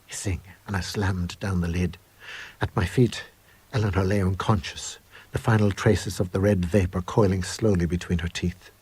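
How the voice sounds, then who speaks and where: A man with a low, husky voice narrates a supernatural tale in the first person. His delivery is measured and suspenseful, in the manner of a radio reading. The sound is close and very dry. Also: darkish tone, dry recording. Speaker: reader of a short story.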